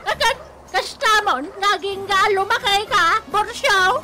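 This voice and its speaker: A woman playing a fed-up, scolding wife in a radio comedy drama, with a whining, drawn-out delivery. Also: very high-pitched, bright, forceful tone, exaggerated voice. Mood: nagging. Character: nagging, fed-up wife scolding her lazy husband. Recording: music under radio drama dialogue.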